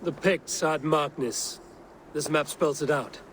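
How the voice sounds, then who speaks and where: An actor playing a stern, principled Viking raider hero who speaks in a blunt, measured way in a video game. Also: male voice, on the high side, steady tone, noisy background. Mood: wry. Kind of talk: dramatic video game cutscene acting.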